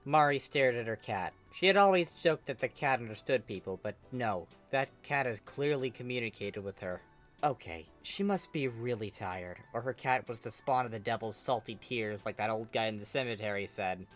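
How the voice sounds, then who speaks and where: Fan fiction narrator, mid-pitched voice, bright and punchy, expressive scripted delivery, faint music bed. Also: moderate pace. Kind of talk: dramatic scripted narration of a comic story.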